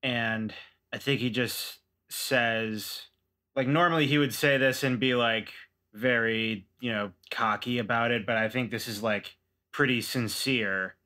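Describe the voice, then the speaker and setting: A man's voice: medium pitch, steady tone, measured pace, sharp tone. A man playing a tabletop roleplaying game, casually describing what his character does.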